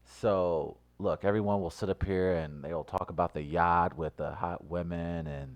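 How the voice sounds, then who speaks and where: A man co-hosting a radio show, teasing his co-workers and breaking into loud mock speeches. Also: low-pitched, fairly even intonation, moderate pace. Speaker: co-host. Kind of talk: joking radio banter.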